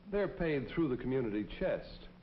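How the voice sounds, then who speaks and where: An actor playing a kindly, earnest neighborhood policeman in a 1940s American public-service film, speaking in a folksy, gently persuasive way. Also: medium pitch, very animated, moderate pace, a little hiss or hum, natural voice. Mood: kindly persuasion. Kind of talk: 1940s propaganda film acting and narration. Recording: dull vintage film soundtrack, music behind. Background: music.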